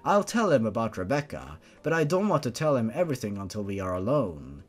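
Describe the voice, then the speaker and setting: A man's voice: mid-range voice, very animated, fairly quick, pitched below the performer's own voice. A man voicing a defiant young woman, a blunt and guarded heroine in a story reading.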